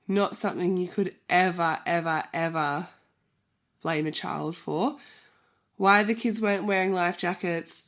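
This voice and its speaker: Nasal-sounding woman who is sick. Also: fairly low pitch. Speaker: book vlogger. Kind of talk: reading check-in vlog.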